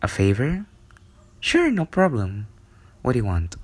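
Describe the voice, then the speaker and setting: A man speaking softly and intimately in a soothing ASMR bedtime style, sometimes dropping into a whisper. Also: medium pitch, wildly swooping pitch, measured pace, no echo. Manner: soft, intimate, soothing delivery, partly whispered. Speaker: ASMR storyteller. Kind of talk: ASMR bedtime roleplay and storybook reading.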